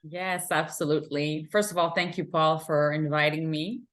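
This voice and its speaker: A woman's voice: deep voice, flat delivery, moderate pace. A woman from Montreal whose first language is French, talking candidly as a guest coach in an interview, with fillers and restarts. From Montreal, Quebec, Canada. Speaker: guest, emotional intelligence coach. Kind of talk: conversational interview.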